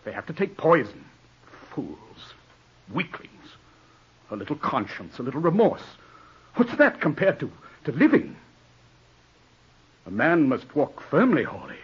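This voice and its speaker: An actor playing a proud, ruthless gentleman in an imperious, scornful, theatrical manner for a 1940s radio drama. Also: a little high, big pitch swings, measured pace, pauses often, husky, full character voice. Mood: scornful, impassioned. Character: proud, ruthless gentleman clinging to respectability and power. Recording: dull old 1940s radio broadcast.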